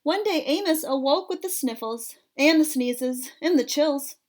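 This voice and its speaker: A woman reading a picture book aloud for children in an expressive, measured storytelling style. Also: high-pitched.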